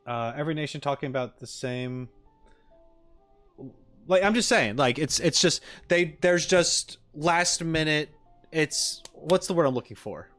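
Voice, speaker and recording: Guy streamer reacting to game stuff, midrange voice, emphatic n opinionated, dry close mic, soft music behind. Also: fairly high pitch, a little slow, no echo. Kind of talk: casual livestream commentary.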